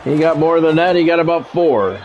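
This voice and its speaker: A man's voice: on the high side, animated, a little fast, lo-fi sound. A man doing live play-by-play of a football video game, mixed with blunt, salty, conversational asides. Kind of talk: live sports play-by-play commentary mixed with casual chat.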